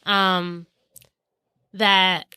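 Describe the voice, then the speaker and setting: Bright-voiced young woman hosting chat. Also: lowish voice, flat delivery, drawn-out words, smooth, dry recording, studio quality. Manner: conversational, laughs easily, asks reflective questions. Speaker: podcast host. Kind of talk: interview conversation.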